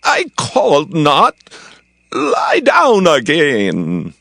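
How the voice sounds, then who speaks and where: An actor playing a weary, gentle old priest with a creaky, halting voice in a scripture drama. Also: male voice, a little high, huge pitch swings, dry recording, full character voice. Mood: weary, puzzled.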